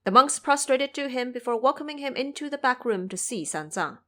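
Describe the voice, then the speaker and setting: Animated, higher-pitched woman storyteller. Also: a little fast. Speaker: narrator. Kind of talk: dramatic scripted storytelling.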